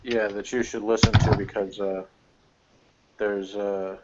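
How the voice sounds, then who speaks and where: A man chatting casually as a guest reviewer, rambling and hesitant, picking through his thoughts in a measured way. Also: lowish voice, flat delivery, heavy background noise. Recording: muffled lo-fi call audio.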